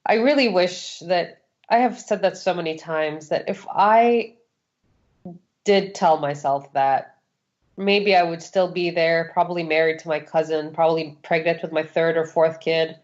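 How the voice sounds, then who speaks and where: Low-pitched, frank woman in dull audio. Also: steady tone, measured pace, a little mellow. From Saudi Arabia. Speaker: interview guest. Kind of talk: candid personal testimony. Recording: dull, lo-fi sound.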